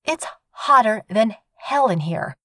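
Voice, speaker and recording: A man's voice: high-pitched, animated, slow pace, sharp tone, no echo, studio quality, pitched above the performer's own voice, heavily acted. A man doing a sarcastic, scolding young woman. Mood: indignant. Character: brash sharp-tongued young woman neighbor. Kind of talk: audiobook reading.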